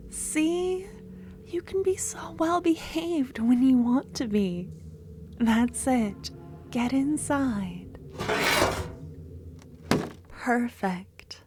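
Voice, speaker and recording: A woman acts out a loving, possessive female cultist in an ASMR roleplay. Her voice is high and soft, drifting into breathy whispers as she coaxes very slowly. Faint noise sits behind her. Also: heavily acted. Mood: tender, possessive.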